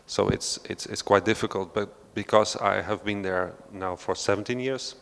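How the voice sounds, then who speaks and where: A man from Belgium gives an unscripted talk to a panel audience, low-pitched and fairly flat in his melody. He hesitates a lot, filling gaps with "uh" and "um" and restarting his sentences. His voice carries a long reverb from the large hall. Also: moderate pace, creaky. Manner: hesitant, many fillers and restarts, non-native phrasing. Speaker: panel speaker, researcher. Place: large hall of an art centre.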